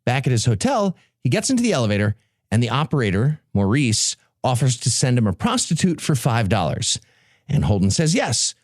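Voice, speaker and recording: A man delivers a sardonic, emphatic comic summary as a podcast presenter. His voice runs a little high and swings widely in pitch, captured very dry. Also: a little mellow, no room sound.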